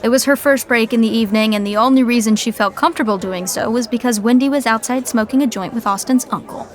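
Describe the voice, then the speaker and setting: A woman narrating a scripted sitcom podcast with wry, deadpan asides. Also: fairly high pitch, fast talker, slight background noise.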